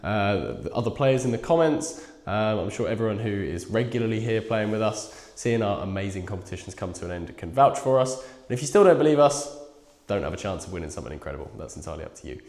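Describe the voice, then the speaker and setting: A croaky, bunged-up man hosting a live prize draw in chatty, casual talk. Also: lowish voice, lively intonation, fast, a little mellow, echoey room. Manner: chatty, reads numbers aloud. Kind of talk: casual live-stream chat.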